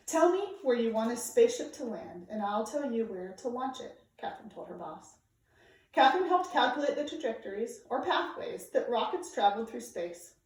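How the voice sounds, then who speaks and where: Woman reading a kids history book out loud, really echoey, sounds a bit far from the mic. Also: fairly high pitch. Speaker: storybook reader. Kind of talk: scripted narration, reading a children's nonfiction book aloud. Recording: very echoey room, distant microphone.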